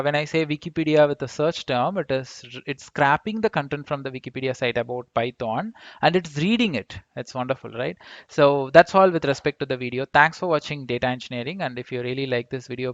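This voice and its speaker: A man's voice: mid-range voice, vocal fry, no echo, lo-fi sound. A man with an Indian accent presenting an informal programming tutorial, explaining step by step in a repetitive way and checking in with "right?". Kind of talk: informal screencast code walkthrough.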